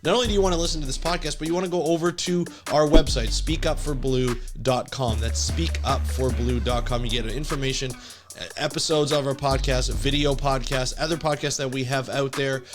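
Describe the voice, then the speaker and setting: A man hosting a solo podcast, talking in an energetic, conversational way with fillers and restarts while congested from a cold. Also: mid-range voice, brisk, music in the background. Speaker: podcast host.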